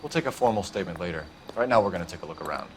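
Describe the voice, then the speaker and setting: An earnest, low-voiced police detective. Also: male voice, expressive, rapid-fire. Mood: businesslike. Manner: clipped, authoritative questioning. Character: earnest, driven male police detective, clipped and businesslike. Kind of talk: scripted crime drama acting. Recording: music behind the voice.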